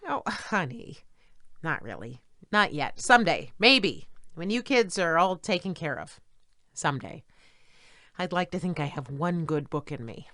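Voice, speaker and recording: A middle-aged mother and would-be writer in an audio play. She frets and puts herself down, with very lively pitch on a slightly low voice. The sound is dry. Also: measured pace, no room sound. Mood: self-doubting. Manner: self-deprecating, fretful. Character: harried middle-aged single mother, struggling writer, self-doubting.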